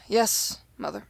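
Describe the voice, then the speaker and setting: A woman's voice: fairly high pitch, vocal fry, a little mellow, no echo, full character voice. A woman voicing an anxious, bookish young nobleman who speaks formally and gets flustered.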